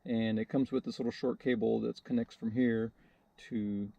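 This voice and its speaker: A man's voice: mid-range voice, little pitch movement, normal speed, vocal fry, mellow, no echo, lo-fi sound. A man giving a casual, hands-on how-to explanation in a hesitant, unscripted and modest way, as the host of a DIY video. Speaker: DIY video host demonstrating a radio installation.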